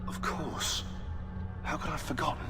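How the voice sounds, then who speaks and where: A man's voice: fairly high pitch, steady tone, normal speed, music in the background, lightly acted. Sardonic British historian played by a man, very reverberant. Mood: dismayed. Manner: dry, sardonic. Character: dry, sardonic male British historian, brainy and know-it-all. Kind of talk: video game cutscene acting. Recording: long hall reverb.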